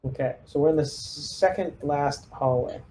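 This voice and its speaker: Mellow-voiced man playing a tabletop game. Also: fairly high pitch, normal speed, slight background noise. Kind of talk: casual game-table chat.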